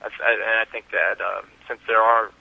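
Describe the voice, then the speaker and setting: A man's voice: fairly low pitch, little pitch movement, moderate pace, vocal fry. A man giving conversational interview answers as a guest, heard over a lo-fi telephone call. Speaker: interview guest, website founder.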